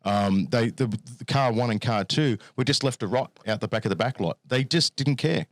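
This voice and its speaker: A man co-hosting a casual podcast chat, telling film trivia in an animated, swearing way. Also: medium pitch, moderate pace, no echo, studio quality. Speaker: podcast co-host.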